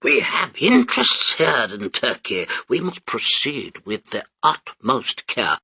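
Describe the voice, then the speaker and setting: A man's voice: medium pitch, wildly swooping pitch, takes it slowly, creaky, pushed and bright, breathy edge, dry recording, muffled recording, pitched above the performer's own voice, heavily acted. A man voicing a pompous upper-class marine major who speaks in a haughty, clipped drawl. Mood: lofty.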